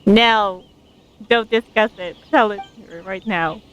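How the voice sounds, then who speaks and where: A woman's voice: medium pitch, unhurried, a bit bright. A woman game streamer chatting casually and reacting aloud to a story as she plays, close to her microphone. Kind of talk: casual live gameplay commentary and reading along.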